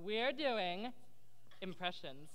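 A woman's voice: medium pitch, lively intonation, takes it slowly. A young woman co-hosting a school talent show, teasing her partner and hyping up the next act on an auditorium stage. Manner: perky, teasing, shouts the introduction. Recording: hall reverb with clicking noise.

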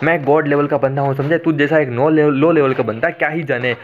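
A man's voice: a little high, steady tone, a little fast, narrow, tinny audio, a little hiss or hum, lightly acted. A man voicing a small, boastful male pig beast king in a cartoon recap, his voice raised well above his own. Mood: swaggering. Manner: boastful, then pleading and whiny.

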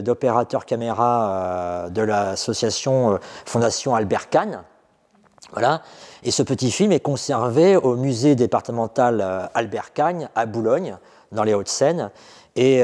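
A middle-aged man speaks unscripted as a guide in an exhibition room, pausing often with fillers and restarting phrases. His voice sits at an ordinary male pitch. A long reverb trails behind him. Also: a little mellow. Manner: hesitant, many fillers and restarts. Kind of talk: unscripted explanatory talk.

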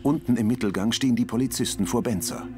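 A man's voice: mid-range voice, quick pace, warm tone. A man reading scripted audio description for a TV crime comedy in a neutral, matter-of-fact way.

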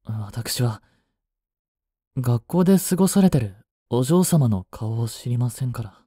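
A man acts out a young butler in a romantic audio drama, with a dark, soft-edged voice at a middle pitch. He speaks formally, cool on the surface yet devoted and fiercely possessive. The sound is very dry and intimate. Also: expressive, unhurried, slightly rough, dry recording. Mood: apologetic, sulky. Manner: formal, polite to his mistress, curt to rivals. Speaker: voice actor.

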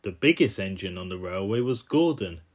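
A man's voice: low voice, expressive, moderate pace. A man narrating in the manner of a children's storybook.

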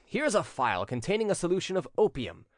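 A man's voice: high voice, sing-song, fast talker, no room sound, clean studio sound, pitched above the performer's own voice. A man voicing a haughty, menacing prince in a storybook reading, with a severe, commanding and insulting manner. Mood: severe, furious. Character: haughty, menacing prince bullying his servant. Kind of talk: audiobook character acting.

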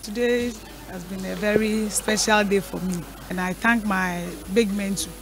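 A woman's voice: mid-range voice, fairly even intonation, moderate pace, low-quality recording. A woman answering a reporter in a happy, upbeat way, with microphones held close in a bowling alley. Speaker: bowler, women's winner. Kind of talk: casual interview answers. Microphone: handheld microphones held close.

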